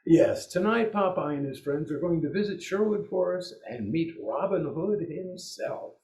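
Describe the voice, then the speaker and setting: A man's voice: on the high side, expressive, normal speed, darkish tone. A man co-hosting a radio variety show with theatrical, showmanlike scripted announcing in a 1940s style. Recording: echoing room.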